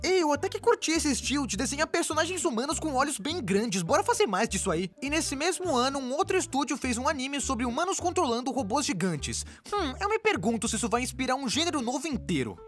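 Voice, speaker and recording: A woman's voice: sits high, big pitch swings, fast talker, hardly any pauses, faint music behind. A woman with a Brazilian accent reading a scripted comedic explainer, with playful, sarcastic asides. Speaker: animated explainer video narrator. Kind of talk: scripted comedic narration, dubbed.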